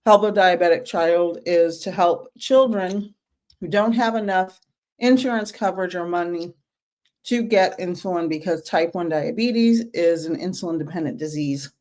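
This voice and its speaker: A middle-aged woman hosting a casual live-stream book club, her voice hoarse from teaching, greeting viewers by name as she talks. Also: on the low side, normal speed, darkish tone.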